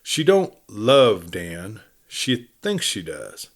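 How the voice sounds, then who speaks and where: A man's voice: on the low side, huge pitch swings, very slow, full character voice. A man voicing an opinionated, tough cowboy in a storybook western, lecturing in a folksy American Western drawl. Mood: insistent, emphatic.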